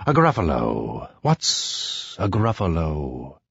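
Storybook snake, acted by a man: sly, menacing, low and quiet, very slow, oily phrasing. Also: huge pitch swings, creaky, slightly rough, dry recording, dull audio, heavily acted. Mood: wary. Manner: drawn-out, oily delivery. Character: sly, sinister, slow-talking male snake. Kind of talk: children's storybook character reading.

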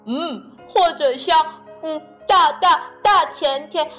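A woman's voice: sits high, takes it slowly, sharp tone, faint music behind, pitched above the performer's own voice, full character voice. A woman voicing a small, cheerful and curious male frog in a children's storybook reading. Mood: dreamy, wondering.

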